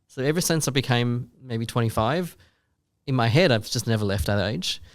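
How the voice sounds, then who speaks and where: A man's voice: mid-range voice, animated, moderate pace, no room sound, studio quality. A man around forty co-hosting a podcast, talking in a rambling, self-deprecating way and asking long questions. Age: 40s. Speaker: podcast co-host. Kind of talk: casual chat.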